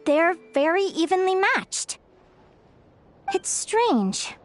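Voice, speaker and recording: A woman's voice: extremely high pitch, very animated, takes it slowly, exaggerated voice. A woman voicing a young, curious bird fae explorer in a video game, talking in an eager, wondering way. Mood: friendly. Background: game music.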